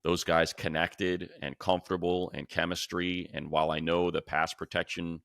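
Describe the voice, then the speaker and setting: A man's voice: deep voice, flat delivery, normal speed, gravelly, fairly bright tone, no echo, clean studio sound. A man hosting a sports talk show, casually analysing in an emphatic, conversational way and repeating points for stress.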